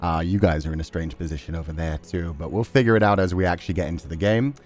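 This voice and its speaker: A man's voice: low voice, fast talker. A man hosting a game livestream, chatting casually and enthusiastically to his viewers in a rambling way. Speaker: game streamer, host. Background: music.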